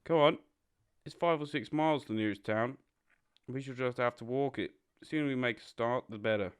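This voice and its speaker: A man playing a practical, exasperated water rat in an audio drama, brisk and matter-of-fact. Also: mid-range voice, smooth, lightly acted. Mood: impatient. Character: practical, exasperated male water rat, bossy old friend.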